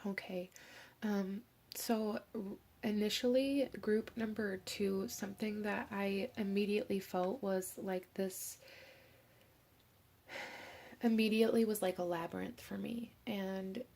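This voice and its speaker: A young woman, hoarse from a cold, giving a gentle pick-a-card tarot reading and slipping into soft whispers. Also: mid-range voice, fairly even intonation, slow. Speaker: tarot reader.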